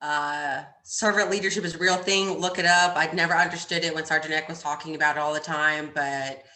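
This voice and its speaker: A woman's voice: low-pitched, steady tone, fairly quick, bright, forceful tone, reverberant, low-quality recording. A woman, an army staff sergeant and podcast guest, telling stories in casual, brash slang and acting out her retorts. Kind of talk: casual chat, storytelling.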